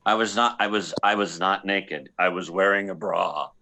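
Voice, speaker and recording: A man playing a hapless, insubordinate, hard-drinking slacker space pilot in a comic radio play, with halting, stammering delivery. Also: low voice, sharp tone. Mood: sheepish boasting.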